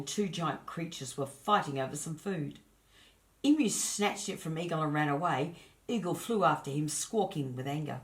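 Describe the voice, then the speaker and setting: A woman with a low-pitched voice narrates a children's story. Her reading is scripted, expressive and deliberate, in the manner of a storyteller.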